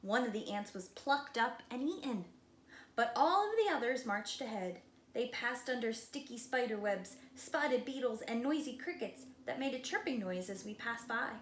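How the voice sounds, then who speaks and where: A woman preschool teacher reading a picture book aloud expressively, with dramatic slowed emphasis and hushed moments. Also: a little high, animated. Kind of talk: expressive children's read-aloud. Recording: roomy echo, light background noise.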